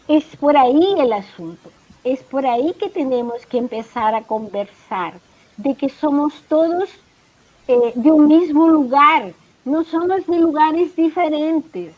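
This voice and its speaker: A woman with a Chilean Spanish accent talks in a high voice, picking her words slowly and restarting phrases as she thinks. The sound is muffled and lo-fi, like a video call. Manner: thoughtful, hesitant restarts. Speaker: professor, guest. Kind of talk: casual conversation.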